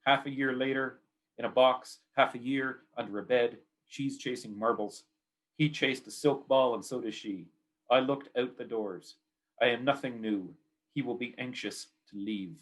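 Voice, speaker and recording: A man's voice: mid-range voice, moderate pace. A man with a Canadian accent giving a poetry reading of his own work in short, clipped, repeating phrases.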